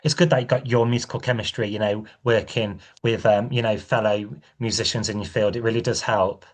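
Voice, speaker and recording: A man's voice: fairly low pitch, fairly quick. A man hosting a podcast interview, asking loose questions with plenty of fillers. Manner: relaxed questioning with many fillers. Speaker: podcast host.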